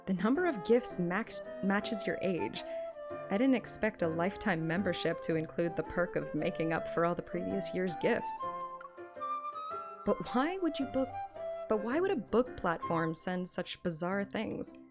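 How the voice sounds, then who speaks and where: A woman's voice: on the low side, animated, unhurried. A woman voicing a playful, curious young game heroine, reading both her dialogue and her thoughts, with music behind her. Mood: playful, teasing. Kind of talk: dramatic reading of game text.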